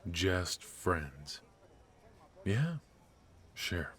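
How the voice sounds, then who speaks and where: A man's voice: sits low, sing-song, drawn-out words, fairly bright tone, heavily acted. A man playing a cocky, ruthless and protective mafia boss in a noir ASMR roleplay, with an intimate, teasing delivery. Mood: teasing, dry. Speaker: voice actor.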